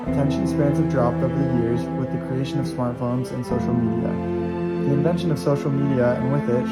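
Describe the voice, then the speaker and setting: A man reading scripted documentary narration in an earnest, steady way. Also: medium pitch. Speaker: student narrator. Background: guitar music.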